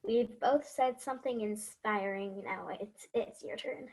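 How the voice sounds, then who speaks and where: A young actress playing an earnest hero kid. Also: female voice, a little high, a little slow, poor audio. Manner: earnest, stammering.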